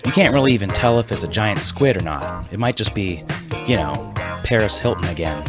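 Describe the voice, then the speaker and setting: A man's voice: fairly low pitch, expressive, fairly quick, over music. A man hosting a scripted comedy podcast with a wry, mock-serious delivery. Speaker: podcast host.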